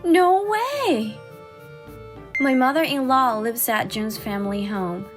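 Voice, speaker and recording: A woman voicing a forty-year-old housewife and crafts artist in a dramatized story, expressive and exasperated yet caring. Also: high-pitched. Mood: shocked, worried. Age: 40s. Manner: expressive, indignant in dialogue, measured when narrating. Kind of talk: dramatized first-person story narration and dialogue. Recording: background music under the voice.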